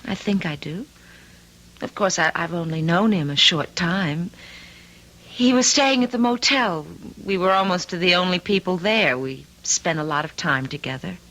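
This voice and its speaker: Bright-voiced, weary, resolute young wife. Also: female voice, on the low side, moderate pace, low-quality recording. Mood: wistful. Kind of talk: old-time radio drama acting.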